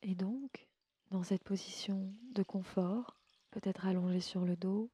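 Soft, mellow woman guiding sleep meditation. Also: mid-range voice, fairly even intonation, no echo. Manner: soothing, gentle, unhurried, often breathy near-whisper. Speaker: yoga nidra guide and podcast host. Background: music.

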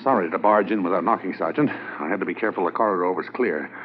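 A man's voice: low voice, quick pace, lightly acted. A man playing a confident, businesslike undercover police corporal in a 1950s radio drama, on a muffled, hissy transcription. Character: undercover Mountie corporal posing as a New York buyer.

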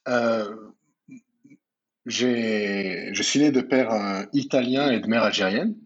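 A man's voice: fairly low pitch, slow, breathy edge, muffled recording. A man in his thirties from the Paris region, a guest telling his life story in a casual interview, with many fillers and restarts. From France, Paris region. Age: 30s. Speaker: guest entrepreneur.

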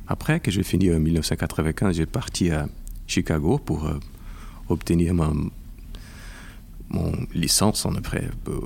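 A Brazilian man in his forties, a lawyer speaking French as a second language with a Brazilian Portuguese accent, in a careful, halting interview manner. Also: deep voice, creaky, a little mellow, breathy edge. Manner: careful, halting with repeated words. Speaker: intellectual property lawyer. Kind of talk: podcast interview.

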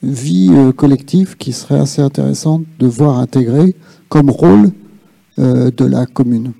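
Male discussion participant, halting and reflective, very dark timbre with gravelly creak. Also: mid-range voice, dry recording. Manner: halting, searching for words, reflective. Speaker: audience participant in a policy workshop. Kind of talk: spontaneous spoken remarks at a public discussion.

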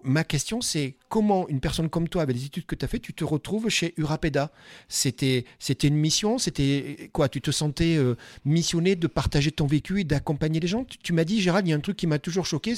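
A man's voice: a little high, a little fast, a little mellow, dry recording, clean studio sound. A middle-aged man from Dordogne interviewing a guest at a job fair, chatty and enthusiastic, with many restarts. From Dordogne, France. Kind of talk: casual event interview. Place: quiet corner at a busy job fair.

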